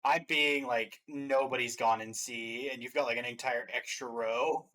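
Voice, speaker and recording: A man's voice: mid-range voice, steady tone, normal speed, bright, forceful tone, poor audio. Male reaction host, casual chat, mid-range voice, conversational.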